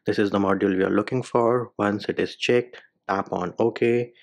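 A man's voice: fairly low pitch, steady tone, normal speed. A man hosting a phone tutorial voice-over, giving informal step-by-step instructions in short clipped phrases. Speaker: tech tutorial video host.

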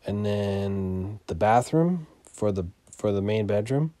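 A man giving a casual, unscripted property walkthrough as a landlord, in a matter-of-fact, halting way that trails off. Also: on the low side, expressive, warm tone, no room sound. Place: inside an empty mobile home, starting on the porch.

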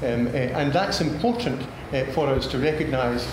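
Older male panelist, slow, halting delivery with lots of ums, recorded from afar in a reverberant hall. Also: medium pitch, lively intonation. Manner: halting, many filler sounds, measured. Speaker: panel speaker. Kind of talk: policy panel talk. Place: large formal room with audience. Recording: echoey hall, room noise.

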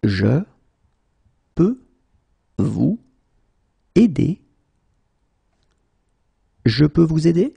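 A man who is a native French teacher, slowly drilling short phrases, saying each one whole and then word by word. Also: fairly high pitch, sing-song, gravelly, warm tone, slightly rough. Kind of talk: slow phrase-by-phrase language drill.

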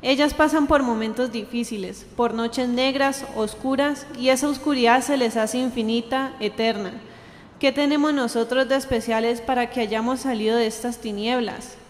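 A young woman playing an earnest, anguished sister in an amateur radio drama, with a halting, plaintive delivery. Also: fairly high pitch, steady tone, slight background noise, close to an everyday voice. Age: young adult. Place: indoor green-screen studio. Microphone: handheld dynamic microphone shared close up. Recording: reverberant hall-like echo.

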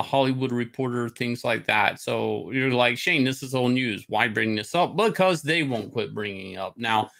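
A man's voice: mid-range voice, vocal fry, a bit bright. A middle-aged man hosting a talk show, giving opinionated, casual commentary in a rambling, conversational way. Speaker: host of a comics talk show. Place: indoor room, on a video call.

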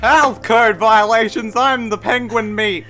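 A high, bright-voiced crime boss, played by a man, brash and crude. He rambles and swears like a bully in a comic game dub, with faint music behind him. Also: fairly even intonation, unhurried. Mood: smug, menacing. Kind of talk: improvised fan dub comedy.

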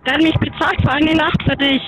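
Teen boy prank caller, very high voice, flat hesitant delivery, noisy home-video sound. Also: a little fast. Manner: deadpan, hesitant. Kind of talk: prank phone call. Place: small living room.

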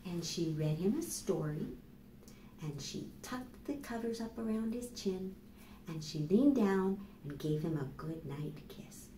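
An American woman in her fifties reading and explaining to young children as a storytime host, with deliberate, animated delivery. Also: lowish voice, a little hiss or hum. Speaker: children's librarian hosting storytime. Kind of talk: children's storytime narration and instruction. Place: small plain indoor room. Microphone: camera microphone a few steps away. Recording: roomy echo, voice far from mic.

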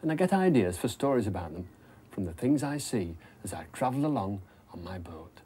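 A man's voice: on the low side, sing-song, a little fast, dark tone, slightly rough. A middle-aged man presenting for young children, thinking aloud and addressing viewers simply as he tells stories. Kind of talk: gentle scripted chat to young children. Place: wood-panelled narrowboat cabin.

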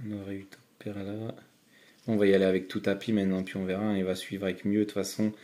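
A man commenting on his online poker hands for viewers in a chatty, excitable way. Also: low voice, fast. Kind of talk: casual live commentary while playing.

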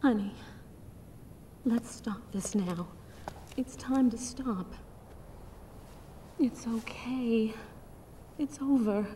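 Woman acting slow, breathy, weary old wife. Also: medium pitch, mellow, a little hiss or hum, close to an everyday voice. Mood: soothing. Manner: soothing, sorrowful storytelling. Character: gentle, weary old wife of a ruined captain. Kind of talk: video game voice acting.

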